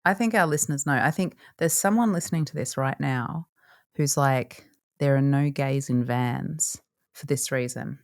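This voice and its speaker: A woman's voice: extremely low pitch, moderate pace, vocal fry. A woman, co-hosting a podcast in casual chat, bubbly and joking, often laughing. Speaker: podcast co-host.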